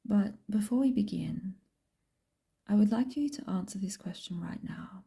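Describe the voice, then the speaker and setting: A woman guiding a meditation in a soft, breathy whisper, speaking gently and unhurriedly with long pauses. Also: medium pitch. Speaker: meditation guide and host. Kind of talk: slow guided meditation, soft whispered speech.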